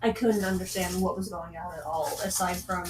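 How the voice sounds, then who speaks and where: A woman's voice: lowish voice, fairly even intonation, fairly quick. Distant, echoey woman panelist. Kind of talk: casual group chat commentary. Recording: distant echoey mic, voices around.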